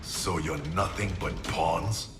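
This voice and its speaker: A hardened ninja warrior with a very deep voice, terse and resolute. It is cinematic game acting in an echoing hall under loud music. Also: male voice, fairly even intonation, slow. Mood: hard, defiant. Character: hardened, vengeful male ninja warrior ally.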